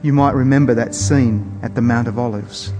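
A man preaching a sermon in a measured, earnest manner with weighty pauses. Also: mid-range voice, fairly even intonation. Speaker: preacher introducing scripture. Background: soft plucked guitar music.

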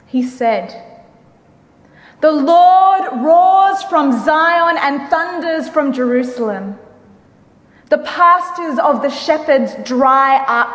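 A woman's voice: high-pitched, fairly bright tone. A woman giving a solemn, declamatory dramatic reading of scripture. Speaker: reader in a church dramatic reading. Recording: live hall recording with long reverb.

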